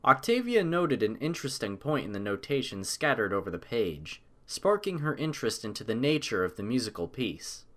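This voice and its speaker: Animated male storybook narrator. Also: medium pitch, moderate pace, hardly any pauses. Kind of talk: scripted audiobook narration.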